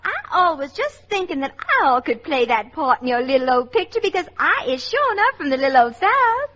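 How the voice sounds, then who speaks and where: An actress playing a sweet, eager young Southern secretary with an exaggerated Southern US drawl in old radio comedy style. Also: female voice, high voice, big pitch swings, brisk, pushed and bright, exaggerated voice. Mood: sweetly eager. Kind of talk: 1940s radio comedy acting. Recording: dull old radio recording.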